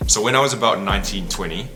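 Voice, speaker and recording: A man's voice: on the low side, quick pace. A man, telling his life story to camera in a candid, reflective, casual way. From New Zealand. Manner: reflective and candid, loose and conversational. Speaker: travel content creator. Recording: voice over loud background music. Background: music.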